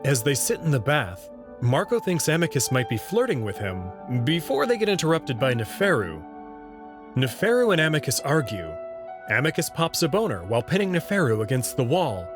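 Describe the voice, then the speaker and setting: A man's voice: medium pitch, sing-song, moderate pace, music in the background. A man narrating a scripted story expressively as the host of a visual novel reading channel.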